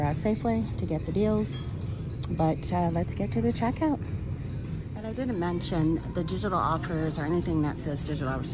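A woman's voice: on the low side, noisy background. A woman filming her grocery shopping in a supermarket, talking casually to viewers about sale prices. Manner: chatty, practical, addresses viewers as y'all. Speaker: grocery haul vlogger. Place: supermarket aisles. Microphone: handheld phone camera. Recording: music playing in the store. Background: store background music.

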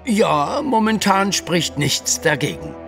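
An actor playing a hesitant, reassuring train conductor in an audio drama. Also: male voice, sits high, huge pitch swings, takes it slowly, creaky, fairly bright tone, faint music behind, heavily acted.

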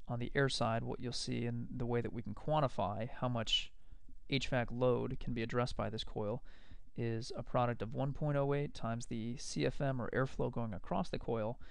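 A man giving a measured, explanatory recorded lecture over slides. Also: mid-range voice, fairly even intonation, vocal fry, smooth, no echo.

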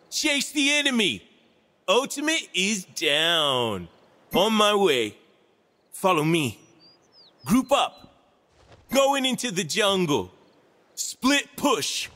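A man's voice: sits high, sharp tone, long reverb tail, heavily acted. A man voicing a laid-back, nature-loving hippie stoner wizard for a video game, with a drawling, spaced-out surfer-dude delivery. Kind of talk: video game character voice lines.

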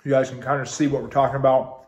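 A man in his thirties talks straight to the camera in an upbeat, chatty way. His nose is stuffed up from congestion. His voice sits at an ordinary pitch and carries a roomy echo from a small room at home. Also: little pitch movement, quick pace. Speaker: ice cream reviewer. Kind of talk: casual video review.

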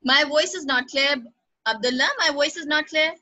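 A high, bright-voiced woman teaches an online class. She praises students by name and repeats each instruction patiently. Her voice comes through a computer microphone on a call. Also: normal speed, lo-fi sound. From Pakistan.

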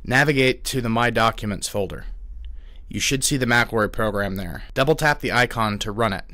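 A man giving a scripted voice-over with calm, measured step-by-step instructions for resetting a handheld device. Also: medium pitch, dry recording. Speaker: product tutorial narrator.

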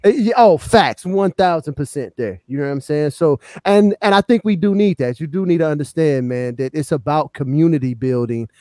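Podcast co-host, man, mellow tone, dry close sound, emphatic and casual. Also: fairly high pitch, fairly quick, hardly any pauses, no echo. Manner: emphatic, many "you know what I'm saying" fillers. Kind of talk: casual hip hop podcast chat.

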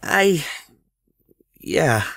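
A woman playing an anxious, flippant teenage schoolboy in an audio drama, stammering and excitable. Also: deep voice, extremely slow, vocal fry, dry recording, clean studio sound, full character voice. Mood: defensive, uneasy. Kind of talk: scripted audio drama acting.

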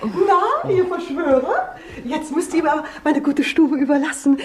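High female voice, effusive old landlady, playful, sing-song, theatrical, vintage radio play. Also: lively intonation, normal speed. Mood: coy, effusive. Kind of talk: 1960s German-language radio drama acting.

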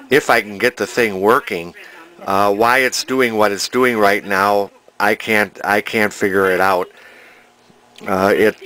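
A man's voice: on the low side, sharp tone. A man narrating a casual repair vlog as a vintage television restorer, thinking aloud in a hesitant, rambling way in his home workshop.

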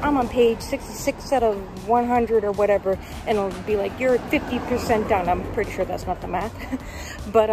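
Chatty young woman vlogging outdoors over music. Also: medium pitch. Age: young adult. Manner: chatty, informal, jokey asides. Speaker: vlogger and author. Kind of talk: casual walk-and-talk vlog. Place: outdoors on city streets, walking.

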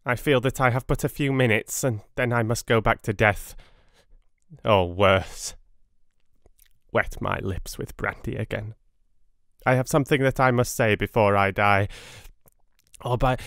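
A man voicing a dying asylum patient, weak, breathy and halting, pleading urgently. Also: mid-range voice, a bit bright, no room sound, clean studio sound. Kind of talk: audiobook character voice.

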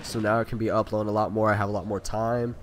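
A man's voice: lowish voice, flat delivery, quick pace, noisy background. Sick nasal man rambling over gunfire. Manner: rambling, talkative, frequent fillers. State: sick with a stuffy nose. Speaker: gaming video creator. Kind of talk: casual gameplay commentary. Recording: voice over loud gunfire game audio. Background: video game gunfire and sound effects.

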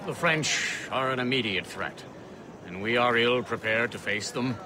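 An actor playing a colonial official delivering a formal speech before an assembly. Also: male voice, mid-range voice, normal speed, close to an everyday voice. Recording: speech heard from far off, crowd behind.

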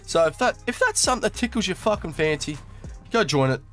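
A man's voice: sits high, very animated, a little fast, fairly bright tone, faint music behind. A young Australian man with a slightly nasal voice from a cold, casually commentating over his gameplay in a crude, laid-back, swearing manner. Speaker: gaming YouTuber.

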